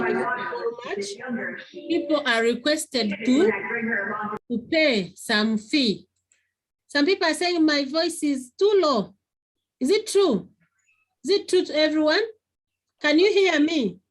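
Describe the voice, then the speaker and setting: Slow high-voiced woman teacher. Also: expressive. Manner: repeats key phrases, questions pupils often. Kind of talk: online class lesson. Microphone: computer on a video call.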